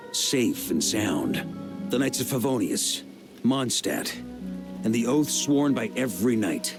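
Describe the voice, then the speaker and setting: An actor playing a seasoned, warm and steadfast knight grandmaster in a video game, with a reflective, easygoing manner. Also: male voice, fairly low pitch. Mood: solemn, resolute. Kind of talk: English video game voice acting. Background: background music.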